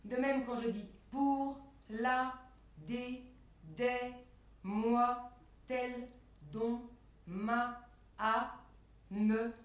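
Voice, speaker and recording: Slow, high-voiced woman in an echoey room. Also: steady tone, distant mic. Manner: measured, enunciating, sets phrases apart as quotations. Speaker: performer in a spoken-word performance lecture. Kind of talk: scripted, deliberate spoken exposé on stage. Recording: echoey room, picked up from afar.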